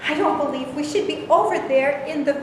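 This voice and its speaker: A woman playing an outspoken antiwar mother in an amateur staged play reading, sarcastic and sharp-tongued. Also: high voice, brisk, close to an everyday voice. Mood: indignant. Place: echoey room, staged reading. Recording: very echoey room, clear background noise.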